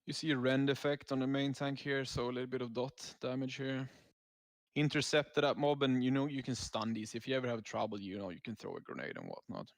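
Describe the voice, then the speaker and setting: A man's voice: medium pitch, fairly quick, vocal fry, no echo, clean studio sound. A man giving casual, laid-back gameplay commentary as a raid leader, explaining tactics as he goes.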